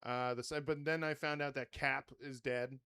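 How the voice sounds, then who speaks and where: A man hosting a podcast in casual chat, with a very loud voice and a rambling, swear-laden way of talking. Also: medium pitch, fairly even intonation, moderate pace, clean tone. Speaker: podcast host.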